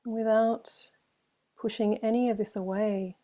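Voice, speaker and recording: A woman leads a guided meditation as a teacher. Her voice is dark and relaxed, with very little rise and fall. She speaks gently and soothingly, leaving long silences between short phrases. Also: medium pitch. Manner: slow, gentle, soothing delivery with long pauses.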